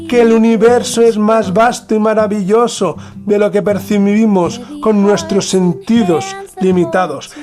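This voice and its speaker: A man from narrates a scripted mystery documentary in a solemn, dramatic manner with deliberate pauses. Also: high-pitched, a little mellow. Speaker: podcast host narrator.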